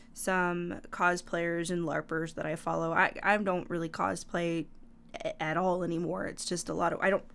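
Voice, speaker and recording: A woman's voice: fairly low pitch, vocal fry, a bit bright, clean tone. Easygoing woman co-hosting a podcast. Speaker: podcast co-host. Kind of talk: casual podcast chat.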